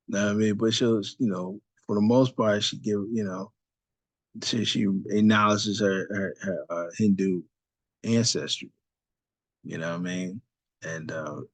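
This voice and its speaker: A man's voice: on the low side, slightly rough, dry recording. A man teaching a religious study session in a loose, conversational way, full of fillers and asides. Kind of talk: casual religious study talk.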